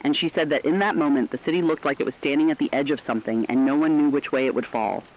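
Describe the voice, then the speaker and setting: A man playing a solemn commentator recounting a catastrophe in scripted radio narration, deliberate, literary and emphatic. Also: fairly high pitch, highs cut off, lightly acted.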